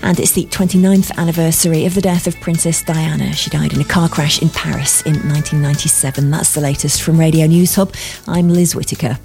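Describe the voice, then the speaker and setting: Low-voiced woman newsreader. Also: fairly even intonation, brisk, gravelly, over music. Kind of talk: formal scripted radio news bulletin.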